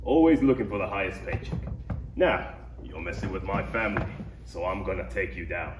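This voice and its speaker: A man's voice: sits low, brisk, lightly acted. A man playing a tough, confident mercenary bounty hunter and protective father at a script read-through. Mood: cocky. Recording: echoey, noisy, distant room mic.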